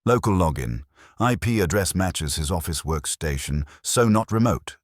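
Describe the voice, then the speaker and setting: A man's voice: deep voice, animated, moderate pace, dry recording, clean studio sound, voice pushed up. A man voicing a wary, matter-of-fact office tech worker in a detective audiobook, clipped and technical.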